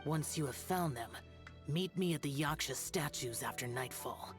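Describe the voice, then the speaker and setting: A man's voice: on the high side, normal speed, lightly acted. A man playing a stern aloof guardian. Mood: cold. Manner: formal, curt, solemn. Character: stern, aloof young male guardian spirit. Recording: game audio under background music.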